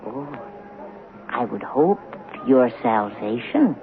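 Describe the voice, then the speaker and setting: Casting: a kindly, sly old churchgoing lady for radio drama, very expressive, unhurried, genteel delivery. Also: rumbling low, heavily acted. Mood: pious, wistful. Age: elderly. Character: prim, pious elderly woman, kindly and sly. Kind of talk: 1970s radio drama acting. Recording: muffled vintage radio broadcast with music.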